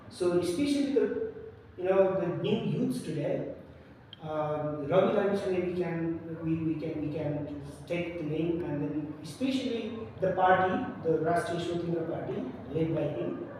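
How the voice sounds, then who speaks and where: A man's voice: high-pitched, darkish tone. A man with a Nepali accent, an English teacher talking casually on a podcast, hesitant with many fillers and restarts. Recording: echoey room from far off, faint noise.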